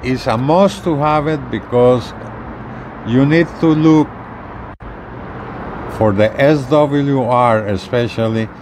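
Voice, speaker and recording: A middle-aged man giving an unscripted how-to demonstration in halting, short phrases with repeats, recorded outdoors on a porch. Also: mid-range voice, noisy background. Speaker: gadget reviewer demonstrating equipment.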